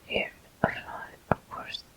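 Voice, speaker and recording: A person whispering soothing, unhurried ASMR game commentary in a breathy voice, close to the microphone. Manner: soothing, unhurried, softly encouraging. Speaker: game streamer.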